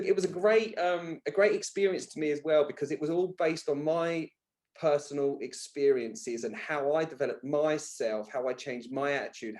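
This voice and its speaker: A man with a fairly high voice talks as a guest in a candid, conversational interview. He is colloquial and animated, repeating phrases for emphasis as he tells his stories. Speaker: podcast guest, author.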